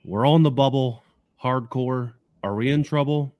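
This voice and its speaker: A man co-hosting a casual sports podcast in an upbeat, excited way, recorded in a basement home studio. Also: medium pitch, unhurried, no echo. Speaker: podcast co-host.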